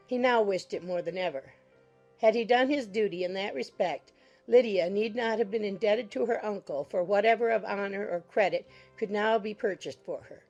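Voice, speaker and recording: A woman's voice: mid-range voice, poor audio. A woman reading literary narration for a dramatized audiobook. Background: soft music.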